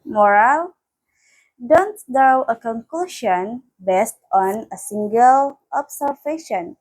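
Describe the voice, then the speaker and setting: Slow, high-pitched woman storyteller. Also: lively intonation, a little mellow, low-quality recording. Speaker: storyteller reading aloud. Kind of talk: scripted children's story read-aloud.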